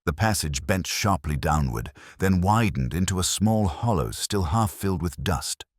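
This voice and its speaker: A man with a very deep voice reads a mystery story aloud. His delivery is measured and dramatic, with plenty of movement in pitch. The sound is dry. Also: dry recording. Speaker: audiobook narrator. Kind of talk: dramatic scripted mystery narration.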